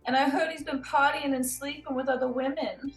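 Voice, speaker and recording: Woman acting a high-voiced gossipy parent, roomy echo. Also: fairly even intonation, a little fast, soft background music, close to an everyday voice. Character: gossiping concerned parent, disappointed in Dave Kaufman. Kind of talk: amateur live script table read.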